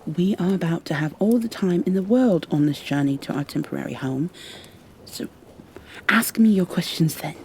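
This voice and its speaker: A woman playing a stern high elf warrior in an ASMR roleplay, with formal, commanding, impatient phrasing and a soft, breathy delivery that often drops toward a whisper. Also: animated, normal speed, a little hiss or hum. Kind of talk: ASMR roleplay, scripted in-character monologue.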